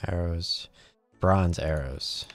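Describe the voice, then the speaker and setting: A man's voice: deep voice, takes it slowly, no room sound, soft background music. A man hosting a gaming video, giving casual gameplay commentary and thinking aloud in a rambling way.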